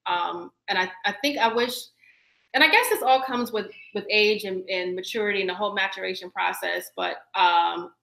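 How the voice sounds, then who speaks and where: Woman, podcast co-host, casual and reflective, bright tone, echoey room. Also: medium pitch, moderate pace, gravelly. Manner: chatty and reflective, laughs easily. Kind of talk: casual live-stream chat.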